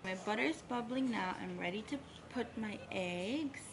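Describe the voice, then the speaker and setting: A woman in her thirties chatting casually through a home cooking and hacks vlog, upbeat and relaxed. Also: medium pitch, normal speed, noisy background. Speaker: lifestyle vlogger. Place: home bedroom and kitchen.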